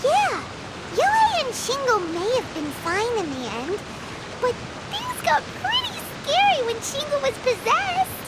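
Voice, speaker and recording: A woman voicing a small, childlike, excitable girl companion in a video game, squeaky and blunt. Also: unhurried, heavy background noise, full character voice. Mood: worried. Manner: exclamatory, blunt. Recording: music behind the voice.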